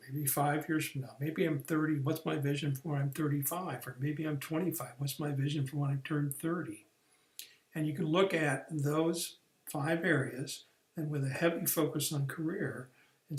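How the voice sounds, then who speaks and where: Man teaching a career course, echoey room. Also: a little high. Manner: explanatory, plain, with fillers and restarts. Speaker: career coach. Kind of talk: recorded online training lesson.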